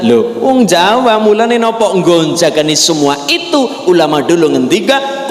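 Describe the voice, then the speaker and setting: A man with a Javanese accent giving a humorous sermon, telling jokes and acting out little dialogues. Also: a little high. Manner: jokey storyteller, acts out dialogues with voice shifts. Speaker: preacher. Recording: echoey hall with long reverb.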